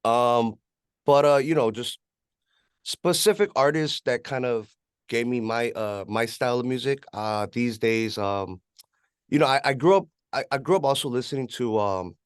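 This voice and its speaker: A man's voice: medium pitch, normal speed, clean tone, no room sound, studio quality. A man with a West Coast American accent chatting casually as an interview guest, rambling in a laid-back way with plenty of "you know". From California, USA. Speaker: interview guest, rapper and music producer.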